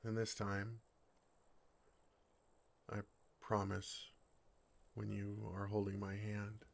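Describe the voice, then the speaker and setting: A bashful dragon played by a man speaks in a lowish voice with a creaky, gravelly edge. He is earnest and tender, hesitating awkwardly as he talks of love. It is an intimate fantasy ASMR roleplay. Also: monotone, slow pace, exaggerated voice.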